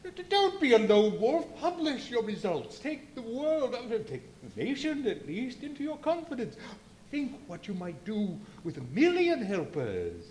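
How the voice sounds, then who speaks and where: A man acts out a horrified, anxious gentleman in a live radio drama. His voice is very high for a man and swings widely as he stammers and pleads. The room is echoey. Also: wildly swooping pitch, a little slow, exaggerated voice. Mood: pleading, indignant. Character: anxious, alarmed male doctor, horrified by a madman. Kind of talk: live radio play acting. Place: live performance in a brewery venue. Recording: echoey live venue, faint noise.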